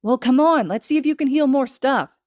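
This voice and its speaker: A woman voicing a brash, mischievous, excitable young boy who exclaims in casual slang, in an audiobook. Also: high voice, fairly quick, no room sound, pitched above the performer's own voice, close to an everyday voice. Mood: excited. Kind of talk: fan fiction audiobook acting.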